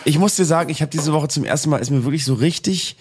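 A man co-hosting a casual podcast chat, hoarse today, talking in halting bursts with many fillers. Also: a little high, a little hiss or hum. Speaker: podcast co-host.

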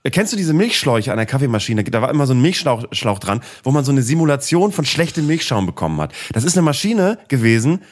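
A man's voice: medium pitch, sing-song, fast talker, few pauses. A middle-aged man in casual podcast chat, telling rambling sarcastic stories and swearing casually. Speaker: podcast host. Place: Cologne.

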